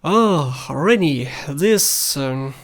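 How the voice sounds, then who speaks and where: A woman's voice: low-pitched, animated, very slow. A woman delivering scripted narration as the host of a video countdown.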